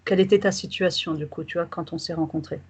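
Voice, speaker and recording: Woman coach asking halting questions. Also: fairly low pitch, steady tone, breathy edge. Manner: halting questions, frequent fillers and backchannels. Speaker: life coach and interviewer. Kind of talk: conversational interview.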